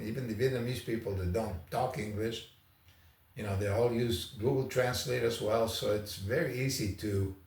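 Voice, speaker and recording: A man's voice: medium pitch, darkish tone. A man from Argentina talking casually as a travel vlogger, in a rambling, conversational, anecdotal way. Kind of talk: casual first-impressions vlog talk. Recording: roomy echo, distant microphone.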